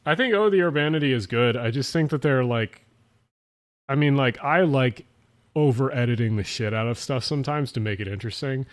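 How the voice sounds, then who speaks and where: A man's voice: medium pitch, fairly quick. A man with a South Jersey accent, slightly tipsy, rambling casually as he hosts a late-night stream and answers chat with lots of fillers and restarts. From South Jersey, USA. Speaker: livestream host.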